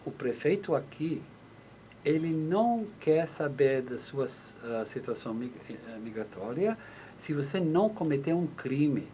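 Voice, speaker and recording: A man's voice: on the high side, lively intonation, unhurried, warm tone, slight background noise. A man, a lawyer on a radio call-in show, explaining patiently and acting out short exchanges, with many restarts. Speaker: lawyer answering listener questions. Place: radio studio in the US.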